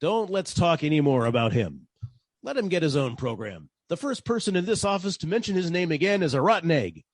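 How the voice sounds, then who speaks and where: A wisecracking private detective acted by a man in the manner of 1940s radio drama. He tells his case wryly, with very animated intonation. The sound is dry and close. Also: fairly high pitch, a little fast, no echo. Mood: sardonic. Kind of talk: old-time radio detective narration and dialogue.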